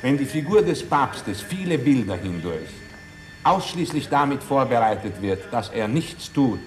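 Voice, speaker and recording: A man with a medium-pitched voice delivers a spoken critique over faint music. He is articulate and builds long, elaborate arguments. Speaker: commentator.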